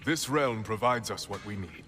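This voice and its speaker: An actor playing a calm, blunt leader of a forest people in a game. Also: male voice, lowish voice, moderate pace, faint music behind, close to an everyday voice.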